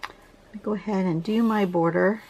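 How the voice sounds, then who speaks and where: A woman with a congested voice teaching a drawing pattern in a casual, chatty way, often restarting and clearing her throat. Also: mid-range voice, steady tone, normal speed, darkish tone, low-quality recording. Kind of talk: casual tutorial narration. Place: home desk, camera over the drawing surface.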